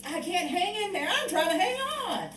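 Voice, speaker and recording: A woman playing an indignant, sharp-tongued passenger who scolds incredulously in a comic radio drama. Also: very high voice, sing-song, moderate pace. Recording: roomy, distant, noisy radio play.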